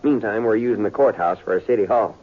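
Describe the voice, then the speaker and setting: A man's voice: on the low side, fast, close to an everyday voice. An American man playing a fretful, persuasive small-town western mayor with a folksy, emphatic drawl in 1950s radio acting style. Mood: grumbling, proud. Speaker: actor in a western radio drama. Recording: tinny 1950s radio recording.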